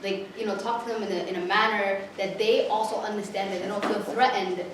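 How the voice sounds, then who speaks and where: A woman from southern Somalia makes her point emphatically in a group discussion, often checking in with the others. She is far from the mic in a resonant room. Clear background noise sits under her voice. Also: medium pitch, fairly even intonation, brisk. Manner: emphatic, keeps asking right?. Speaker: workshop participant. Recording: echoey room, from far off, noisy.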